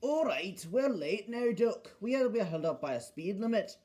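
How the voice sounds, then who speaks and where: Man doing a high, fretful twin engine. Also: sing-song, normal speed, darkish tone. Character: dutiful Scottish male twin railway engine, fretting about rules.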